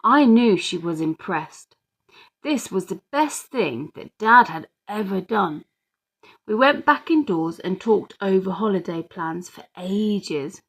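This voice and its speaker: Unhurried, creaky-voiced woman reading a story. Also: mid-range voice, animated. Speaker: audiobook reader. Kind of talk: scripted children's story narration.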